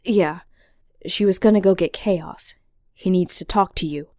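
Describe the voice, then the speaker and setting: A woman's voice: on the low side, unhurried, warm tone, dry recording, studio quality, close to an everyday voice. A woman playing a caring but firm healer in an audio drama.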